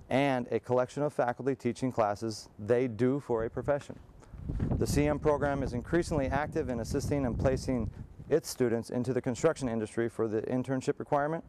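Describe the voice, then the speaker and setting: A man speaks in a clear, smooth voice at a middle pitch. He presents a college program in a formal, measured way, with long, carefully prepared sentences. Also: warm tone. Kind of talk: prepared promotional talk.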